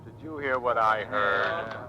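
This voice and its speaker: An actor playing a wry, grumbling American Navy pilot in old-time radio drama style. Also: male voice, on the low side, measured pace, sharp tone, highs cut off.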